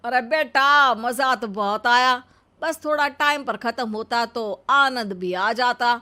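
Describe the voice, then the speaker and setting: A woman's voice: a little high, bright, forceful tone. A woman voicing a mocking older relative who scolds sarcastically, drawing words out in a story. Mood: sarcastic. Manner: drawn-out, taunting delivery.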